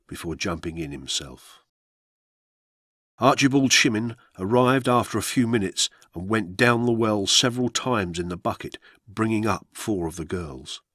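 A man narrates a historical account for radio in a solemn, measured way. His voice sits a little low and has a husky grain. The recording is dry and close, as in a studio. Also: a bit bright, no echo. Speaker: narrator reading true-crime history.

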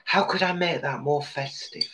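A man's voice: fairly high pitch, moderate pace, sharp tone. An older man co-hosting a live cooking stream from his home kitchen, with dry, teasing banter. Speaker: co-host and cook. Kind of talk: casual live-stream chat.

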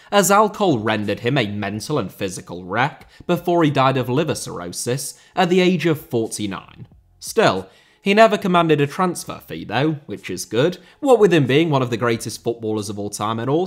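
A man narrating a scripted football video with dry, wry asides, sounding nasal from hay fever. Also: mid-range voice.

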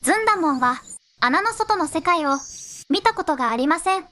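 A young-sounding synthetic woman narrating a fairy tale in measured storybook phrasing. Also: extremely high pitch, animated, pushed and bright, a little hiss or hum.

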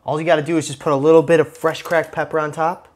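A man's voice: fairly high pitch, little pitch movement, fast talker, a little hiss or hum. A man in his thirties hosting a casual cooking demonstration in a home kitchen, joking with the camera as he works. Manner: laid-back, jokey, talks to camera while cooking. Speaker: cooking show host.

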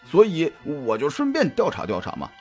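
A man playing a jovial, chatty private detective in an audio drama, talking colloquially with drawn-out hesitations in a husky voice. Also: on the high side, wildly swooping pitch. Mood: chatty, animated. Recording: music behind the voice.

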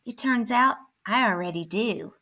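A woman's voice: mid-range voice, measured pace, bright, forceful tone. A woman playing a grumpy, dramatic math skeptic who complains and exclaims in a children's storybook. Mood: conceding.

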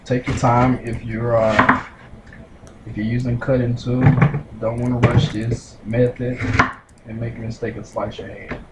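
A young man casually demonstrating how to prepare food in a small home kitchen, explaining each step slowly with frequent pauses and fillers. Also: medium pitch, level tone. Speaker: home cook. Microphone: camera mic at a distance. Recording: roomy kitchen with loud background noise.

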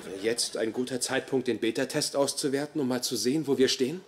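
A man's voice: medium pitch, quick pace, natural voice. A man acting a nervous, self-deprecating, apologetic young boyfriend in a dubbed sitcom. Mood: nervous, wry. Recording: noisy TV sitcom soundtrack.

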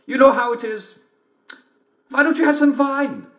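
A man voicing a sly wolf in a squeaky, put-on voice, hesitant and fawning as he talks. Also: moderate pace. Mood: flustered. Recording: dull, roomy sound.

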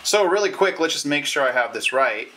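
A man's voice: on the high side, expressive, brisk, very noisy. A man in his thirties explaining a how-to in an informal, stop-and-start way as he demonstrates. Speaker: YouTube tutorial presenter. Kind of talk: casual explanatory how-to talk. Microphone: clip-on lavalier or camera mic.

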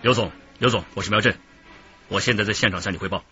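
An actor playing an earnest, efficient police detective in a radio drama. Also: male voice, fairly low pitch, fast, natural voice. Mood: urgent, efficient. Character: brisk, earnest male police detective reporting. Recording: dull radio drama track, faint noise.

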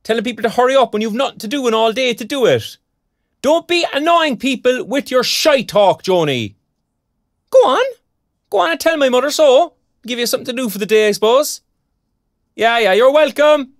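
A young man from an audio drama, with a Cork accent and a lively, swinging delivery. He is colloquial and sardonic, with a short fuse. The sound is dry. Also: very high voice, moderate pace, no echo. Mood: angry shouting. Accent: Irish, County Cork. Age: young adult. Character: young Irish graduate, restless, sarcastic, hot-tempered protector. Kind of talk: naturalistic audio drama acting.

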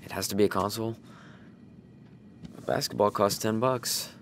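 A man playing a weary, sardonic single father, gruff but caring, who swears when defensive. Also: lowish voice, steady tone, moderate pace, fairly bright tone, a little hiss or hum.

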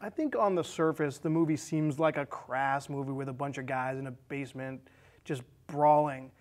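A man's voice: mid-range voice, normal speed, a little mellow. A man in his thirties discussing film casually as a panelist, on a lapel mic in a small studio. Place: small indoor studio set with curtains.

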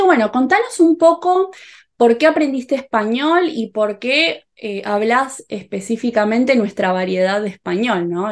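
Young female Argentine host, Rioplatense accent, calm and measured interviewer. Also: fairly high pitch. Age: young adult. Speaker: host and Spanish teacher. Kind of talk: interview over video call. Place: home room with bookshelves.

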